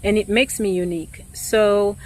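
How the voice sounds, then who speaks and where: A woman realtor with an accent, talking casually and thoughtfully to the camera, pausing often with ums and restarts. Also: medium pitch, fairly even intonation, rough recording.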